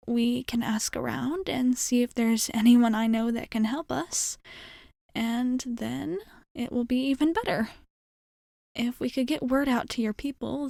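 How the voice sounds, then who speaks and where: A woman playing a friendly, caring alien spaceship rescuer in an ASMR roleplay, speaking softly and conversationally with a hesitant, reassuring, gently teasing manner. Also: on the high side, creaky, dry recording, clean studio sound.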